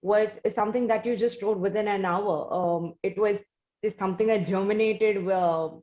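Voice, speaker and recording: Female interview host, Indian accent. Measured, formal phrasing with pauses, mid-range pitch, roomy recording. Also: fairly even intonation, a little mellow. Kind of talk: conversational interviewing. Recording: roomy, dull lo-fi audio.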